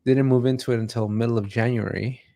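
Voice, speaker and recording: A man speaks as the host of a casual interview. His pitch is average for a man, and he talks in an informal, chatty style. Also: fairly even intonation, fast, creaky, warm tone.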